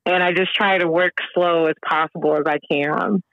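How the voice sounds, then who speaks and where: A woman's voice: fairly low pitch, moderate pace, dry recording, narrow, tinny audio. An American woman from the US South, guest on an interview, talking casually with frequent laughs and fillers. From Georgia, US. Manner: animated, laughs often, many fillers. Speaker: guest opera singer.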